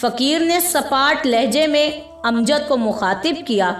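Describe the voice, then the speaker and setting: High-voiced, slow-paced woman storyteller. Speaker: story narrator. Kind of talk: scripted narration of an Urdu moral story. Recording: echoey room with background music. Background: music.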